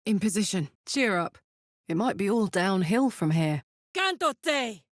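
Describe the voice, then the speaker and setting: A tough, fiery video game warrior with a big heart and a casual, sweary swagger. Her delivery takes its time and swings widely in pitch, moving between blunt bravado and earnest loyalty. The sound is dry and clean, with no room around it. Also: very animated, a little slow, no room sound. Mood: frank, warm, then shouting, fierce. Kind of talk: video game character voice lines.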